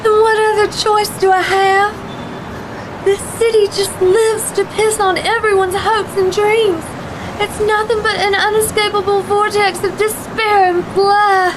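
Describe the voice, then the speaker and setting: Actress as a very high-voiced, despairing young woman. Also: little pitch movement, unhurried, background noise. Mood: despairing, defiant. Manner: tearful, emotional delivery. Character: grief-stricken, despairing young woman, bitter and desperate. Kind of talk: horror audio drama acting. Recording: music behind the voice.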